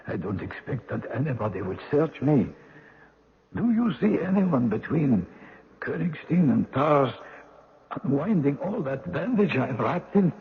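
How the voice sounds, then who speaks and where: An actor playing a wounded French lieutenant, wry and loyal, with a husky, breathy voice in a radio drama. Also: male voice, medium pitch, heavily acted. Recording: muffled old radio broadcast.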